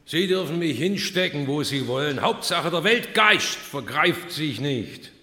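Lofty professor, animated, echoing hall. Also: male voice, a little high, a little slow, full character voice. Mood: lofty, dismissive. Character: Hegel, lofty rambling male philosophy professor on the witness stand. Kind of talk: satirical radio play acting. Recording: echoey hall with long reverb.

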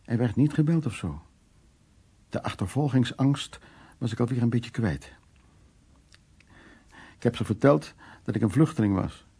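Husky, low-key guarded man. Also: animated, unhurried, long pauses, warm tone, heavily acted. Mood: tense recollection. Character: teasing, guarded man haunted by wartime flight. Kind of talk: naturalistic radio drama dialogue and recollection. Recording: radio play with faint music.

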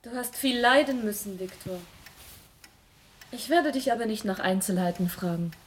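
An actress playing a tender, anxious young bride-to-be in a radio drama, hesitant and earnest. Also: female voice, medium pitch, normal speed, a little hiss or hum, close to an everyday voice. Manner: hesitant, earnest, soft-spoken.

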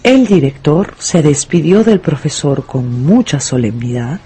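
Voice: medium pitch, big pitch swings, unhurried, dark tone, husky. A narrator reading a scripted story for a radio drama. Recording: music under the narration.

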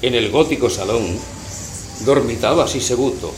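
A man's voice: medium pitch, over music. A man narrating a comic verse tale in a dramatic, theatrical, declamatory manner. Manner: dramatic, declamatory, drawn-out phrasing. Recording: roomy echo, loud background noise.

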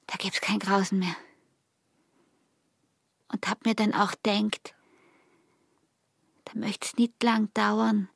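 An actress playing a passionate, calculating young peasant wife in a radio drama, with an Austrian accent, often slipping into hushed, breathy whispers. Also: female voice, medium pitch, no echo, clean studio sound, full character voice. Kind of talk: German radio drama acting, intimate.

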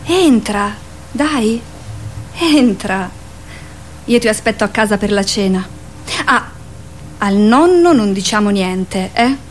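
A woman playing a tender, wistful mother in a radio drama, speaking in a gentle, reflective way. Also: medium pitch, lively intonation, slow, pauses often, slight background noise. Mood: gently urging. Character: wistful, tender adult mother of a young boy. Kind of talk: theatrical radio drama acting.

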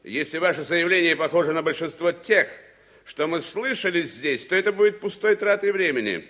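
A sharp, overbearing committee chairman. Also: a little high, animated, fairly quick. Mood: cold, imperious. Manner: curt, officious. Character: brusque, overbearing congressman chairing a hostile hearing. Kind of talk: Soviet radio drama acting.

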